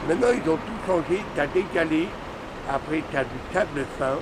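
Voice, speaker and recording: High-voiced emphatic Frenchman, very noisy outdoor recording. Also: lively intonation, normal speed. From Lyon, France. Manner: slangy, emphatic, repeats himself. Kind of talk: casual animated chat, giving travel tips. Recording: very noisy outdoor street recording, traffic rumble.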